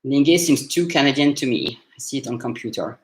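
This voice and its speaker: A man with a French accent leads a live language lesson in a loose, conversational way, often restarting his sentences. His voice sits at a middle pitch. The room has a noticeable echo. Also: brisk. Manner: relaxed, conversational, many fillers and restarts. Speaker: French coach. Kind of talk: casual live teaching session. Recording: roomy echo.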